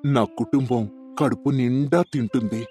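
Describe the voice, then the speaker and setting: A man's voice: mid-range voice, steady tone, a little slow, warm tone, soft background music, close to an everyday voice. A man playing a kind, hardworking village farmer in a storybook tale, earnest and reassuring.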